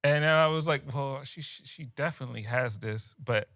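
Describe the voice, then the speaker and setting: A man's voice: mid-range voice, moderate pace, no echo, studio quality. A young man, co-hosting a podcast and telling a story in casual chat, laughing often and dropping his voice when he quotes people. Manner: animated, laughs often, drops to quiet voices when quoting others.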